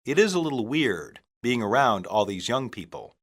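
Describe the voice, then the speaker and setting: Male language-lesson reader, mid pitch, animated intonation, deliberate delivery in separate phrases for learners. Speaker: English teacher reading practice phrases. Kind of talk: scripted language-lesson reading.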